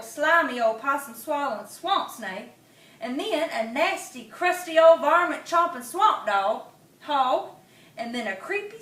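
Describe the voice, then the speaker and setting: A woman's voice: sits high, a bit bright, voice pushed up, heavily acted. A woman doing a small boastful boy possum. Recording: echoey room, mic at a distance.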